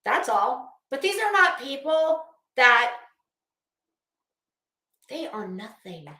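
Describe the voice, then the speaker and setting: A woman's voice: high voice, very animated, takes it slowly, bright, forceful tone. A woman giving an unscripted opinion rant as a channel host, in a blunt, scornful and emphatic manner. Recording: roomy, echoing sound.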